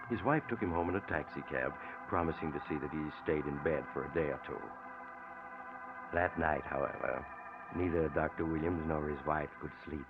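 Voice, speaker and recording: Measured, ominous male storyteller, bottom-deep voice, vintage radio narration over music, thin sound. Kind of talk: scripted old-time radio narration. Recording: tinny old radio recording.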